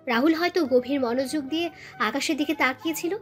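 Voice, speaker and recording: Female storyteller, high voice, scripted narration of family romance over music. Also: fairly quick. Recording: music bed under voice.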